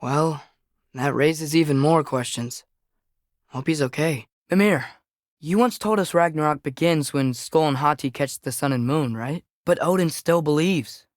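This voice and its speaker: A man's voice: fairly high pitch, measured pace, dry recording, natural voice. Earnest teenage boy adventurer, acted by a man, dry close recording. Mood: curious, casual. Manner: casual, curious, wry asides. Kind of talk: naturalistic video game dialogue.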